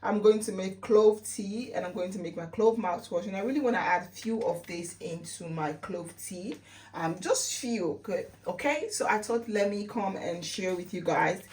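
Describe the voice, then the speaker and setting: A woman's voice: medium pitch, moderate pace, darkish tone, distant mic, slight background noise. A woman with a Sierra Leonean accent chatting casually as a vlogger, repeating phrases for emphasis. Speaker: lifestyle vlogger. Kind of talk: casual chatty home-remedy vlog.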